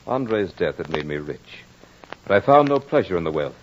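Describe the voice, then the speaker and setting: An actor playing a proud, battle-hardened soldier in old radio horror drama, with formal, theatrical diction. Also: male voice, low-pitched, very animated, normal speed. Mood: brooding, remorseful. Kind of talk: 1940s-50s radio horror drama acting. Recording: muffled old-time radio recording with music.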